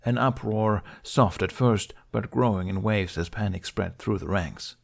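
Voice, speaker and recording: A man narrates an audiobook in a fairly low voice. He reads scripted fiction as a storyteller. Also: a little slow, vocal fry.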